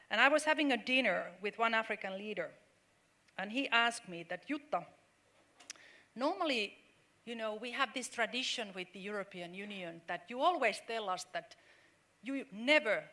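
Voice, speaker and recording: A woman's voice: medium pitch, bright, forceful tone. A middle-aged woman from Finland, speaking earnestly on a panel discussion with many fillers and restarts.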